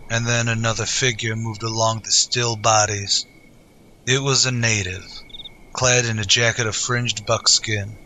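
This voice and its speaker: A man's voice: mid-range voice, bright, forceful tone, a little hiss or hum, poor audio. A man narrating an adventure story in a dramatic old-time radio style.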